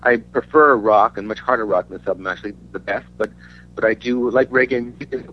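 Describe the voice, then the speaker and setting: Male musician from Denmark, low voice, halting interview chat, muffled recording with faint hum. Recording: dull lo-fi audio with faint hum.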